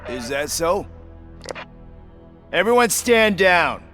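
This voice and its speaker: A man's voice: sits high, very animated, takes it slowly, sharp tone, faint music behind, exaggerated voice. An actor voicing a cool, businesslike male mercenary squad leader in a video game, curt and nonchalant. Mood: cool, dismissive. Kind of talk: dramatic video game cutscene acting.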